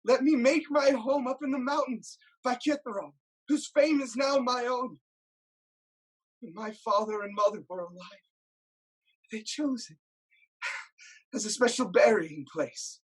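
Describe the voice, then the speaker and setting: A woman's voice: on the high side, a little slow, pauses often, slightly rough, low-quality recording. A woman playing an anguished, self-loathing blinded king in a declamatory, lamenting style of Greek tragedy. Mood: somber pleading. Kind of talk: theatrical Greek tragedy acting.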